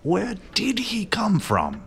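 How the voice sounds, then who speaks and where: A grandiose, aloof male detective in a comic mystery audio drama. His pitch ranges extremely widely as he pronounces each deduction with deliberate, self-assured pomp. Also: huge pitch swings, creaky, heavily acted. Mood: brooding, haughty. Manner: theatrical, haughty, deliberate, given to hushed musing.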